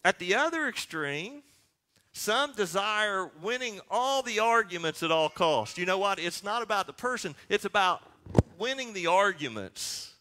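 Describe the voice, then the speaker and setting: A man's voice: sits high, bright, forceful tone. A man in his sixties preaching a sermon as pastor, speaking emphatically and posing questions that he answers himself. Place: church sanctuary.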